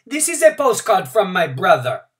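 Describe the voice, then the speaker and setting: Reader doing a hopeful crowd man, pitched up, loud, insistent. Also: very animated, normal speed, recorded from a distance, natural voice.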